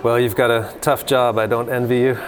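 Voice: mid-range voice, level tone, brisk, a little hiss or hum. An interviewer hosting an informal on-location conversation, talking in an encouraging, conversational way. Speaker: interviewer, host. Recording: busy crowd chatter behind. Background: crowd chatter.

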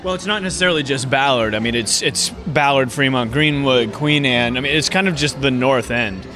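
A man's voice: mid-range voice, a little fast, pushed and bright, faint music behind. A man from a community radio station giving casual, conversational answers in an interview. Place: inside a brewery restaurant.

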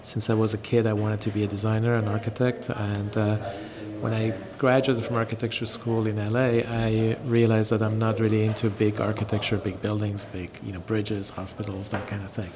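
A middle-aged man from Iran talking casually about his design career, pausing often with ums. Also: lowish voice, crowd noise. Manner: relaxed, hesitant with many ums. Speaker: interview guest, designer. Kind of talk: casual interview recollection.

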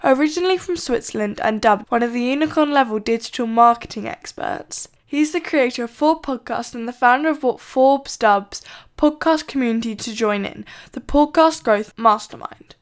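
A woman co-presenter reading out a guest introduction in an even, scripted way. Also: on the high side, moderate pace. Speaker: podcast co-presenter.

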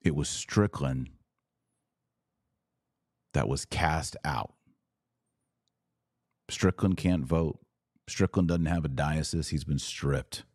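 Low-voiced man giving emphatic commentary. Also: slow pace, long pauses, darkish tone, no echo, clean studio sound. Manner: emphatic, rhetorical questions and repeated phrases. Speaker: podcast host and commentator. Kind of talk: impassioned religious commentary to camera.

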